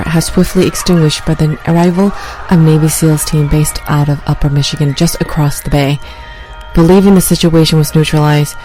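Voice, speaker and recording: A woman plays an on-the-scene news reporter in an audio drama. She delivers her report dramatically, in a middle-to-low voice. Music plays clearly behind her. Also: warm tone, natural voice, poor audio. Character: female field reporter at a riot scene, increasingly frightened.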